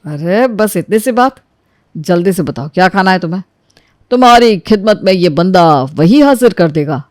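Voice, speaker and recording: A woman's voice: lowish voice, animated, moderate pace, a little mellow, no echo, studio quality, natural voice. A woman voicing an easygoing young husband, playful and eager to please, in a dramatized story. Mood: playful, obliging. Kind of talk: dramatized Hindi moral story acting.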